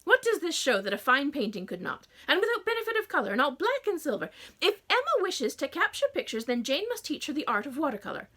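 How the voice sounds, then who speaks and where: A woman's voice: very animated, quick pace, hardly any pauses, pushed and bright, heavily acted. A woman voicing a fretful, overdramatic middle-aged mother who wails and scolds theatrically. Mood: wailing, dramatic. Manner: shrill theatrical wailing.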